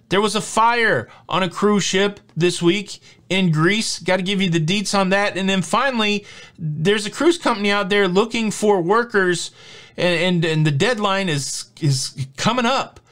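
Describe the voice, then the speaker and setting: A man's voice: high-pitched, a bit bright. A man in his forties hosting a casual news vlog from a home studio, talking in a chatty, upbeat, jokey way with plenty of fillers. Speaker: host. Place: home studio with shelves of collectibles.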